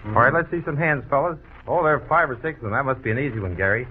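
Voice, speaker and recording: A man's voice: medium pitch, lively intonation, rapid-fire, slight background noise. A man co-hosting an old-time radio quiz, speaking in a period announcing style. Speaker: quiz show co-host. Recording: tinny, narrow vintage radio recording.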